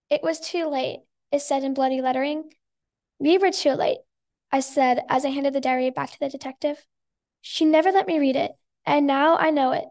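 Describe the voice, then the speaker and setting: A woman's voice: high-pitched, a little mellow. A girl of about eleven, a sixth grader, reading her own story aloud. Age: child, about eleven or twelve. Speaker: sixth grade student guest.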